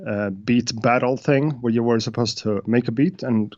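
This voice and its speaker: A man's voice: medium pitch, fairly even intonation, moderate pace, darkish tone, dry recording. Swedish guy talking beats on a video call, lots of uhs, muffled and dry. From Sweden. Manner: hesitant, many uhs and restarts. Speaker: guest beatmaker. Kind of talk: casual interview chat.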